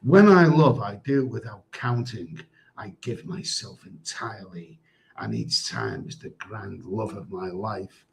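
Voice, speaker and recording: A man putting on a hushed, breathy mock French accent as a sultry woman. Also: lowish voice, big pitch swings, measured pace, voice pushed down, exaggerated voice. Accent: put-on French.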